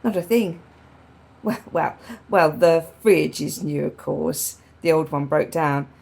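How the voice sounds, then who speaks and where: A woman's voice: deep voice, steady tone, takes it slowly, gravelly, a little mellow, breathy edge, heavily acted. An actress playing a frank, wry, grieving woman in a naturalistic radio drama, speaking reflectively and repeating phrases for emphasis. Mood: wistful, wry. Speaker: radio play actor.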